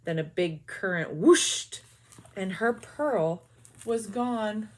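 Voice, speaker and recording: A woman teacher reading a children's picture book aloud with expressive storytelling and quick, quiet asides. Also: mid-range voice.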